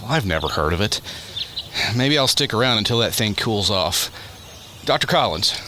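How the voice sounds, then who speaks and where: A man's voice: on the low side, big pitch swings, normal speed. A man acting in a radio drama as a genial, self-effacing astrophysicist with a polite, affable manner. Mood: businesslike. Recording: noisy radio play recording.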